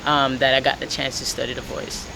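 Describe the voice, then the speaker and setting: A woman's voice: a bass voice, a little fast, vocal fry, a loud crowd, rough recording. A woman from Jamaica, a singer, chatting casually in an interview with lots of "like" and "you know.". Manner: relaxed, rambling, with many "like" and "you know" fillers. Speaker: singer and guitarist guest.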